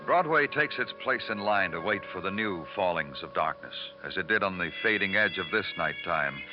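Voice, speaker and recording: A hardened, melancholy city detective in an old radio crime drama. His very low voice is measured and poetic. It sounds dull and muffled, with prominent music. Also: expressive. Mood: somber, poetic. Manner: poetic, measured, brooding delivery. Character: hardened, melancholy New York homicide detective. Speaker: narrator and lead actor.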